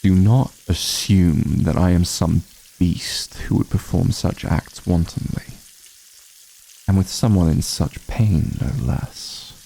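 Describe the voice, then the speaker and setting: A man's voice: low-pitched, slow, vocal fry, warm tone, slight background noise, full character voice, rough recording. A man playing an ancient, aloof vampire patriarch in an ASMR fantasy roleplay, commanding yet honorable, speaking in formal, haughty, archaic phrasing. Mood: dismissive, restrained.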